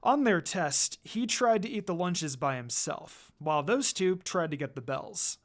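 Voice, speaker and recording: An easygoing male ninja teacher from an anime fan story, voiced by a woman reader. He is casual and curious, with lively movement in the pitch. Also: unhurried. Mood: friendly. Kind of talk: fan fiction character dialogue read aloud.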